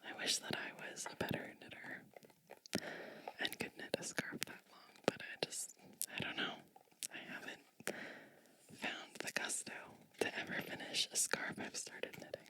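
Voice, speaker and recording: A woman reading a storybook in a gentle, breathy whisper for ASMR, unhurried, with short phrases and chatty asides. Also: low-quality recording.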